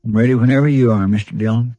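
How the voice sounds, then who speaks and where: An actor playing a loyal, folksy deputy with an American accent, deferential and plain-spoken, in a 1950s radio western. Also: male voice, medium pitch, steady tone, racing, dark tone, lightly acted. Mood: loyal, wry. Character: loyal, folksy deputy sidekick of a frontier marshal.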